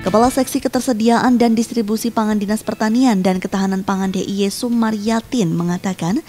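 A woman reporter reading a scripted news voice-over in an even, formal manner. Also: medium pitch.

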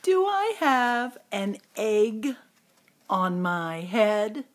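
A woman's voice: medium pitch, expressive, very slow. A woman voicing a distressed, incredulous male elephant from a picture book, full of exclaiming questions. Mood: alarmed, incredulous.

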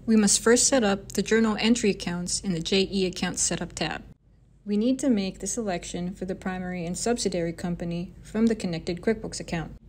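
A woman with a mid-pitched voice narrates a software demonstration. She reads from a script in an instructional way, taking the listener through the process step by step. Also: brisk.

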